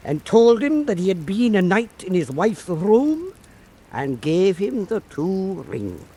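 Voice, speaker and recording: A man with a notably high voice narrates an old folk tale. He takes his time, speaking slowly and dramatically, with pitch that rises and falls widely. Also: darkish tone. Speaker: storyteller.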